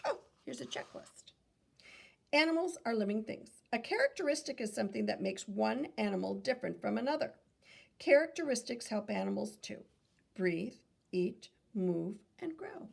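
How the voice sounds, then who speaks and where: A woman reading a children's nonfiction book aloud in a teacherly way, pausing for questions and small self-corrections. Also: fairly high pitch, big pitch swings, gravelly, a little mellow. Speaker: read-aloud reader and teacher.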